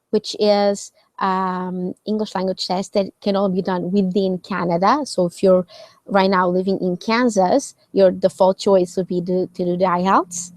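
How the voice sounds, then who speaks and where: A woman from Portugal hosts a casual live question session, explaining step by step with frequent fillers and "right?" tags. Her voice sits at a middle pitch. The recording is dry and close, with no room sound. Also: darkish tone, dry recording. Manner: conversational, explains with many fillers and tag questions. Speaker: host answering viewer questions.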